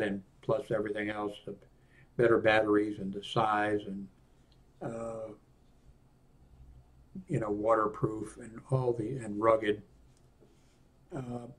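An older man with an American accent giving an unscripted product walkthrough in a halting, rambling way that often trails off. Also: on the low side. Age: 60s or older. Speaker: presenter of a radio equipment review. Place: small indoor room at a desk.

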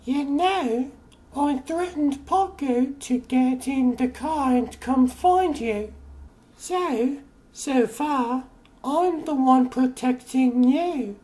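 A man voicing a bossy, exasperated teenage girl with a sharp tongue in an amateur comic-book dub. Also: very high-pitched, takes it slowly. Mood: scolding. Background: music.

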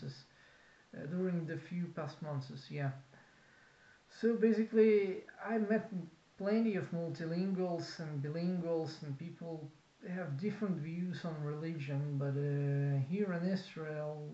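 A man in his thirties with a Russian accent, talking unscripted to a webcam in a small office, halting with many fillers and restarts. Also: on the high side, warm tone. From Kazakhstan. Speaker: vlogger. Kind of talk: unscripted talk to camera. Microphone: webcam at desk. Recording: roomy, dull lo-fi webcam sound.

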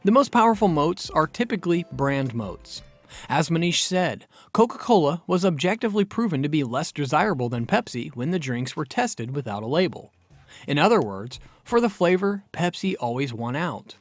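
Lively scripted male narrator over music. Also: fairly high pitch, normal speed, dry recording.

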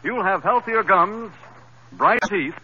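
A man working as a 1930s radio announcer, reading commercials with a smooth, earnest delivery. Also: high-pitched, lively intonation, slow, pushed and bright. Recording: dull old radio broadcast with faint hiss.

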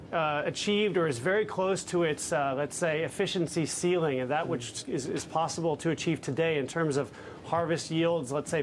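Guest on a news link: man in his thirties, measured, lots of ums, somewhat high-pitched, faint hiss. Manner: measured, hesitant with frequent fillers. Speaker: fund manager guest. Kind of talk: live news interview. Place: office with trading desks behind.

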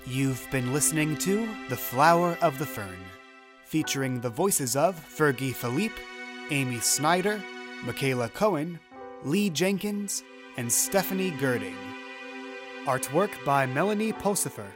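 A man's voice: a little high, expressive, unhurried, over music. A man reading scripted closing credits as an announcer.

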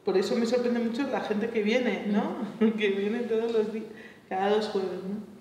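A woman's voice: mid-range voice, steady tone, moderate pace, dark tone, slight background noise. A woman, leading a seminar and thinking aloud, hesitant, with frequent tag questions. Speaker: choir workshop leader. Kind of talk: reflective seminar talk. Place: large bright seminar room. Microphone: far camera mic. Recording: echoey room from far off.

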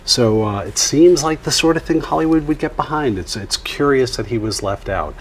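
A man in his fifties giving conversational commentary as a film critic, thinking aloud haltingly. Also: medium pitch, expressive, a little hiss or hum. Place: TV studio.

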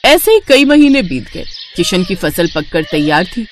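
Female narrator for a moral village story: animated, scripted storytelling delivery. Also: fairly low pitch, measured pace, a little hiss or hum.

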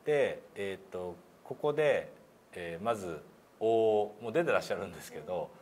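Low-voiced man giving polite instruction. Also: expressive, normal speed, a little mellow. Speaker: pronunciation instructor demonstrating a learning program. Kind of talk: casual, polite workshop instruction. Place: indoor meeting room. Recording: clear background room noise.

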